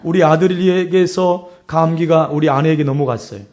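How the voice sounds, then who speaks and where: High-pitched, hoarse male preacher. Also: fairly even intonation, moderate pace, a little mellow. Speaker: pastor preaching. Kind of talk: sermon with storytelling and exhortation.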